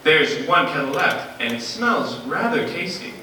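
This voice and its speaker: A man voicing a genial, pompous contest judge from a storybook poem, measured and folksy. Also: a little high, big pitch swings, sharp tone, exaggerated voice. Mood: genial, approving. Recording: echoey room, distant mic, faint noise.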